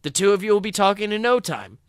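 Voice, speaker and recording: A man's voice: sits high, expressive, fast talker, smooth, no echo, clean studio sound, voice pushed up. A man voicing an upbeat, friendly young man in a story, eager and persuasive. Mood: coaxing.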